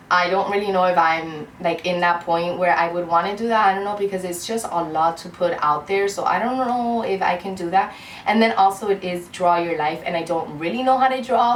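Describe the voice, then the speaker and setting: Woman, twenties, from Cuba, lowish voice, rambling heartfelt vlog chat, echoey room. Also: recorded from a distance. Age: 20s. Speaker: beauty vlogger. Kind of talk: casual chat to camera. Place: room at home. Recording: roomy echo, off-mic, faint hiss.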